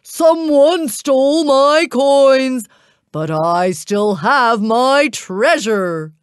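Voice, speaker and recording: A woman voicing an angry, greedy male giant in a storybook, growling and shouting. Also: sits high, expressive, drawn-out words, clean tone, dry recording, studio quality, voice pushed up, full character voice. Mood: furious.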